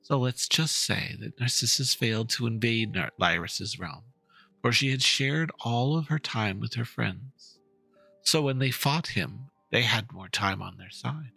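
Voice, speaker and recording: Casting: man voicing a patient, coaxing storyteller for a game-story reading, husky bright tone, theatrical, over music. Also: medium pitch, lively intonation, measured pace, full character voice. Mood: storytelling, coaxing. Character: storyteller patiently coaxing a child with a dragon fairy tale. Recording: music behind the voice.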